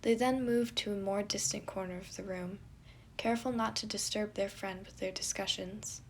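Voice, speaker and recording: A woman's voice: medium pitch, steady tone, moderate pace, slight background noise. A woman reading scripted fiction aloud as the narrator of an audio story. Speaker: podfic narrator.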